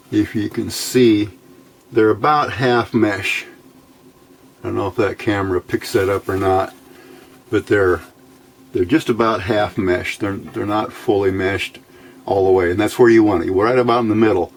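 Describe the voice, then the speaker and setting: A man presenting an informal technical tutorial in a halting, easygoing, folksy way. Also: on the low side, a little hiss or hum. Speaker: hobbyist presenter of electronics build videos.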